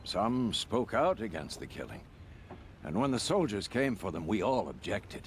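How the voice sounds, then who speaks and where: A man playing an older, self-satisfied veteran hunter in a video game, speaking in a theatrical, measured, pompous way. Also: lowish voice, sing-song, a little hiss or hum. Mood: solemn, haunted. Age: middle-aged or older. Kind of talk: video game dramatic acting.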